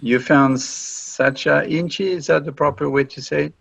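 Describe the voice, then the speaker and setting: A man's voice: a little high, steady tone, moderate pace, vocal fry, a bit bright, dry recording. A man hosting an interview show, asking plain, courteous questions. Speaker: podcast host. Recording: dry, dull lo-fi sound.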